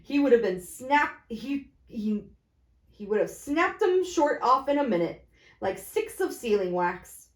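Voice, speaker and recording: A woman's voice: a little high, very animated, unhurried. A woman reading a classic storybook aloud as narrator. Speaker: guest reader. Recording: echoey room sound.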